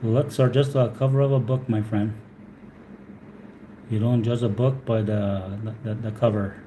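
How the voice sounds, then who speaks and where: A man's voice: on the low side, steady tone, unhurried, noisy background. A man from Alberta with a Canadian accent, chatting casually on a live stream, answering viewers and repeating his words as he rambles.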